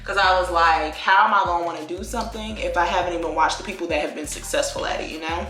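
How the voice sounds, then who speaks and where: A young woman from Virginia talks through her life story in easy, rambling chat, filling it with likes and y'alls. Her voice sits a bit low but sounds very bright. The room echoes around her, with soft music playing underneath. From Virginia, USA. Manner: chatty, drawn-out phrases, lots of likes and y'all. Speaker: beauty vlogger. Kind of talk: casual get-ready-with-me chat.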